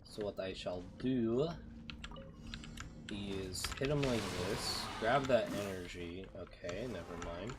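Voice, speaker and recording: A man's voice: fairly low pitch, slow pace. A man doing casual gameplay commentary as a streamer, muttering to himself while he plays. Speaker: game streamer. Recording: noisy mic with keyboard clicks. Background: typing, mouse clicks, background noise.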